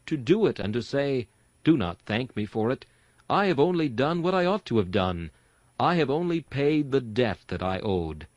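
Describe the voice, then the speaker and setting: Lively, exhorting man reading an old sermon. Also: fairly low pitch, dry recording. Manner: earnest, exhorting, rhetorical. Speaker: sermon reader and host. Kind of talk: dramatic reading of a Victorian sermon.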